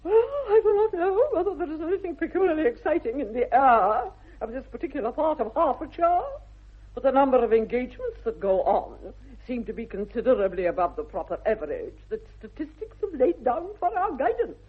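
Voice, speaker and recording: Imperious, swooping aristocratic English matriarch. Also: female voice, sits high, animated, brisk, slightly rough. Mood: imperious disapproval. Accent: upper-class English. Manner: haughty, declamatory, precisely articulated. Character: imperious, formidable aristocratic English mother and aunt. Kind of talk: 1960s radio comedy acting. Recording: tinny, band-limited vintage radio recording.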